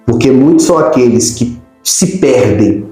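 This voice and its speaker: A man's voice: medium pitch, expressive, moderate pace, mellow. A middle-aged Brazilian man from Rio de Janeiro state, a pastor explaining things conversationally as an interview guest. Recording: very echoey room, faint music.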